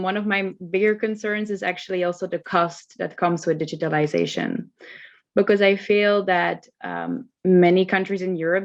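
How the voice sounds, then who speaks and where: A woman from Belgium, a citizen panelist joining a discussion by video call, explaining her views earnestly and steadily. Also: lowish voice. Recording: dull lo-fi video call audio.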